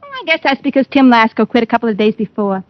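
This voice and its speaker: A woman's voice: a little high, big pitch swings, quick pace, dry recording. An actress playing a guileless young office girl who gushes in slang, in old radio drama style. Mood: chatty, impressed. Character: chatty, naive young blonde gum-chewing office girl. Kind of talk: 1940s radio acting. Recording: muffled old radio recording.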